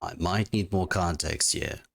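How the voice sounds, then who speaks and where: A man's voice: deep voice, steady tone, a little slow, full character voice. A man voicing an evasive male imp servant with a scratchy voice, hedging and loyal to his master. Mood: coy. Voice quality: scratchy, gravelly.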